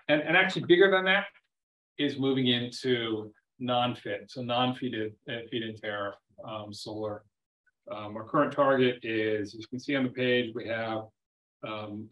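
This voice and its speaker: A man speaking as a company chairman on a web earnings call, presenting semi-formally off the cuff, haltingly, often repeating and rephrasing his words. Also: medium pitch. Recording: dull, lo-fi web call audio.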